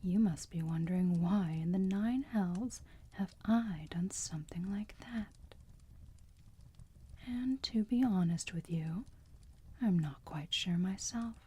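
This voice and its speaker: A woman playing a motherly, teasing vampire who soothes a wounded enemy in a slow, breathy whisper, in an ASMR roleplay. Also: lowish voice, dark tone, heavily acted. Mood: musing. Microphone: close microphone.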